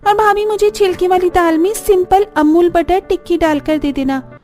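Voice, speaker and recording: A woman's voice: very high voice, steady tone, normal speed, dark tone, voice pushed up. A woman voicing a cheeky, teasing young sister-in-law in a cartoon story. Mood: matter-of-fact.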